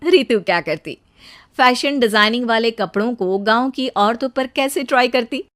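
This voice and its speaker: High-voiced woman narrating a cartoon story. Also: normal speed, a bit bright.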